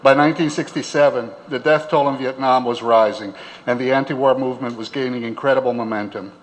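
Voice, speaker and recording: A man's voice: medium pitch, fairly even intonation, brisk, poor audio. A man reading scripted narration as the narrator of a documentary.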